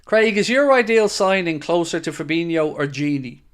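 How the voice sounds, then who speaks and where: A man's voice: fairly high pitch, lively intonation, moderate pace, fairly bright tone, clean tone. Chatty upbeat man streaming. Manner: chatty and upbeat, reads questions aloud then answers. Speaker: host of a football fan channel. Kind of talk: live-stream chat answering viewer questions.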